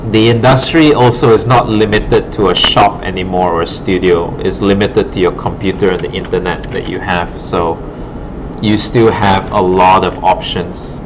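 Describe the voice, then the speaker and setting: A man with a low voice gives informal career advice in a rambling, encouraging way, often checking that he is followed. The recording is muffled, with obvious noise behind him in a classroom. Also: fairly even intonation, normal speed. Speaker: art instructor and former art director. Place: classroom at an art academy. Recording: muffled audio with clear background noise.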